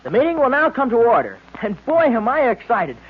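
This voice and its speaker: A man playing an eager, wholesome boy sidekick in a 1940s radio commercial, excited and earnest. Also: very high-pitched, fairly quick, background noise, full character voice. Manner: excited, gee-whiz earnestness. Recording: muffled old radio recording.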